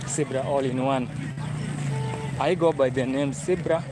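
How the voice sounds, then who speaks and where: A man's voice: mid-range voice, fairly even intonation, measured pace, loud music behind the voice. A young Kenyan man with a Kenyan accent, vlogging live to viewers as he walks. He chats along, repeats himself and speaks straight to his audience. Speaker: travel vlogger. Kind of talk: walking livestream vlog. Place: outdoors on a city street. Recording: street noise around the phone.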